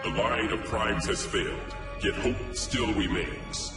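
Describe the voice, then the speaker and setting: A man voicing an ancient, dying, benevolent robot planet in a game, speaking with grave, halting ceremony. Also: lowish voice, flat delivery. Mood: solemn, hopeful. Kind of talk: scripted video game cutscene acting. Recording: echoing voice under loud music.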